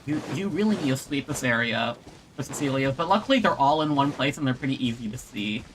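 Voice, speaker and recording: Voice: mid-range voice, animated, a little fast, noisy background. A video game streamer giving casual live commentary, thinking aloud and muttering to themselves while playing. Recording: game music behind the voice. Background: video game music.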